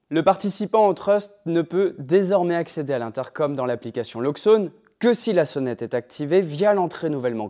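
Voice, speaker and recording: Higher-pitched man presenting a scripted tutorial. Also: darkish tone. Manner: clear didactic step-by-step delivery. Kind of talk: scripted explainer narration. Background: background music.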